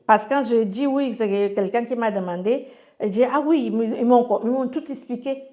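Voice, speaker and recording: A woman's voice: a little high, normal speed, dark tone. A woman from Cameroon with a Cameroonian French accent, sharing a personal testimony in casual storytelling, with frequent fillers and restarts as she relays what others said to her.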